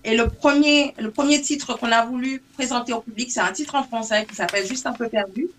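A woman singer from Saint-Martin, whose mother tongue is English, chats in an interview in a fairly high voice. She thinks aloud in short, broken phrases. The recording has light noise with clicks. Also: fairly quick, fairly bright tone. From Saint-Martin in the Caribbean. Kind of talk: casual interview conversation.

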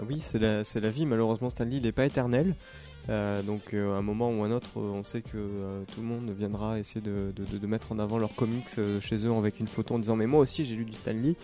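A man's voice: lowish voice, fairly even intonation, fairly quick, warm tone, faint music behind. A man chatting casually on a podcast, talking with many fillers and restarts. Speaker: podcast co-host, comics shop worker. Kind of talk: casual chat.

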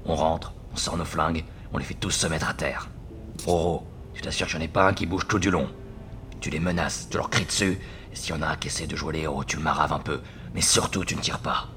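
A man playing a calm, commanding gang leader in an audio drama, matter-of-fact and slangy. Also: a bass voice, slight background noise.

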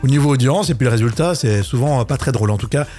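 A man's voice: mid-range voice, racing, dark tone, faint music behind. A man hosting a French radio show, chatting casually and running quiz games with a co-host, laughing often.

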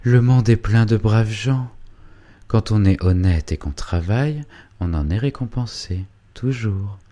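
A man's voice: fairly low pitch, lively intonation, measured pace, dark tone, voice pushed up. A man reading a storybook voicing a naive young woman who dreams aloud, airy and rapturous. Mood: dreamy.

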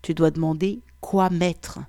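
A woman's voice: deep voice, steady tone, a little slow, warm tone, no echo, voice pushed down. A woman voicing a suave, commanding man. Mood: seductive. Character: firm, dominant, seductive man.

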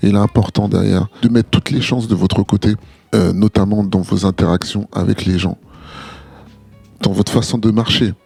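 Gravelly-voiced French podcast co-host. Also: male voice, on the low side, fairly even intonation, a little mellow, dry recording, faint music behind. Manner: measured, advising, hesitant restarts. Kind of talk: casual podcast discussion.

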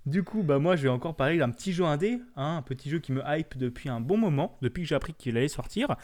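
A man's voice: on the high side, animated, quick pace, a little mellow. A man co-hosting a casual video game podcast, joking along in crude slang. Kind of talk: casual gaming chat.

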